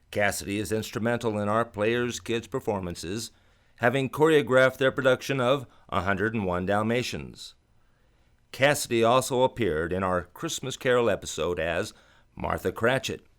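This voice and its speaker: A man hosting a radio show from a studio in a theatrical, old-time announcer style, with grand scripted narration. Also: lowish voice.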